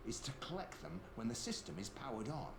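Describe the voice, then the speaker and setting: A man's voice: medium pitch, expressive, fairly quick, vocal fry. An actor playing a weary, frustrated male survivor who swears bluntly. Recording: roomy game audio with background noise.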